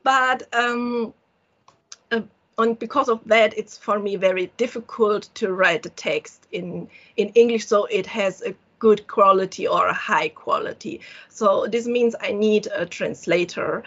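German woman, halting careful English. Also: medium pitch, fairly bright tone, muffled recording. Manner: halting, searching for words, frequent restarts. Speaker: solo indie game developer. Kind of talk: casual interview answers.

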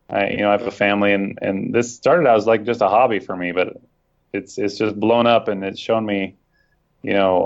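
A man with a fairly low voice speaks earnestly and reflectively as an interview guest. His audio is dull and lo-fi. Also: gravelly. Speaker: guest, online scam-baiter. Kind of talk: casual interview conversation.

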